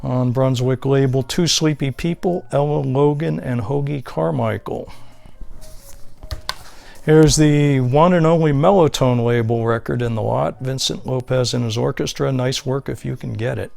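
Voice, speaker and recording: Guy casually going thru his collection, listing stuff item by item, medium pitched voice, no script. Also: a little slow, gravelly, darkish tone, faint music behind. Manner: unscripted, listing titles and labels item by item. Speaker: record collector presenting his finds. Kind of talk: casual show-and-tell narration.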